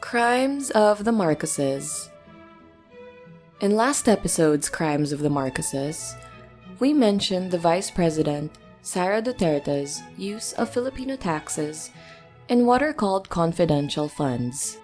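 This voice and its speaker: A woman presenting a scripted news commentary segment on a podcast in a measured, articulate reading. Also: lowish voice, expressive, darkish tone, faint music behind.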